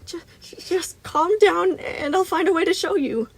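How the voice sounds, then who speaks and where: A woman voicing a frightened, indignant young boy with a squeaky voice, stammering and protesting in a story reading. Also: measured pace. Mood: panicked pleading.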